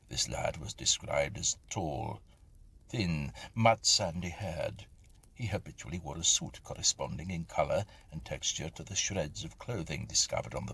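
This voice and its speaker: Deep, gravelly-voiced man narrating a ghost story. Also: fairly bright tone, slight background noise. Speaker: reader and narrator of ghost stories. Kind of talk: scripted dramatic narration of a Victorian ghost story.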